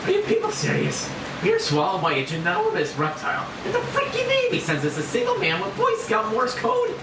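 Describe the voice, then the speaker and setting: A woman's voice: medium pitch, a little fast. A woman reading the part of a panicky, indignant male assistant principal at a screenplay table read, with a whiny, exclaiming manner. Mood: outraged panic. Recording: roomy, distant mic, loud steady hiss.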